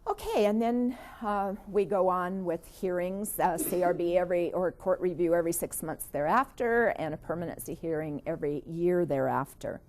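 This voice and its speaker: A woman's voice: fairly low pitch, moderate pace, few pauses. A woman giving a training presentation on court hearings, putting one question after another to the audience, with frequent "uh" fillers. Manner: steady, with many "uh" fillers and a run of questions to the audience.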